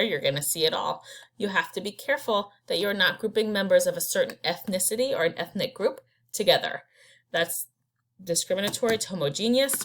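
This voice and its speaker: Casual lower-voiced woman lecturing. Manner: casual and conversational, with fillers. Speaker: instructor. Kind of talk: recorded slide lecture.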